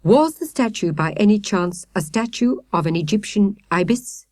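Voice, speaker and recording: A formal, courteous professor from a children's story, voiced by a woman reader. He speaks gravely and politely in measured phrases with varied intonation, close and dry. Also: mid-range voice, expressive, a little mellow, dry recording, pitched above the performer's own voice, lightly acted. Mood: inquiring.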